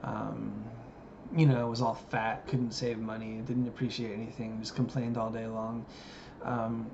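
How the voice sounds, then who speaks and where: A man's voice: on the low side, little pitch movement, measured pace, vocal fry. Musing man in his thirties, lo-fi. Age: 30s. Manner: musing, hesitant, many fillers. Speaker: vlogger. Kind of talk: reflective personal monologue. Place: living in Japan. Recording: dull lo-fi audio with background noise.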